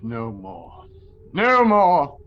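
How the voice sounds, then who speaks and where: A man's voice: on the high side, huge pitch swings, very slow, fairly bright tone, muffled recording, a little hiss or hum, full character voice. A man playing an old miser in an audio drama, anguished and pleading, breaking into whispers and cries. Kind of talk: theatrical audio drama acting.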